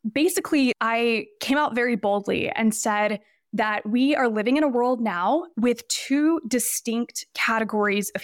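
A woman's voice: fairly high pitch, dry recording, clean studio sound. A woman in her twenties, a podcast guest, talking casually and explaining her ideas at length with examples. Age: 20s. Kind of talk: casual interview talk.

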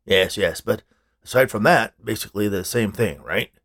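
A man's voice: fairly low pitch, moderate pace, fairly bright tone. A man voicing a grumpy, misanthropic older man in a storybook, curt, blunt and sarcastic. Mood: dismissive.

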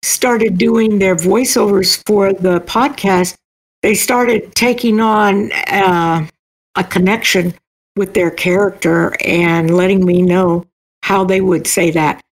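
Lady author on an interview, mid pitch voice, just chatting about her work. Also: steady tone, takes it slowly, low-quality recording. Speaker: guest, self-published author. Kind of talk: conversational interview.

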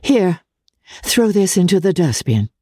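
A woman's voice: fairly low pitch, sing-song, unhurried, warm tone, breathy edge, no room sound, clean studio sound, pitched above the performer's own voice, exaggerated voice. A woman voicing an imperious, passionate aristocratic lady in a theatrical, exclamatory, commanding way for a storybook reading. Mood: urgent, commanding.

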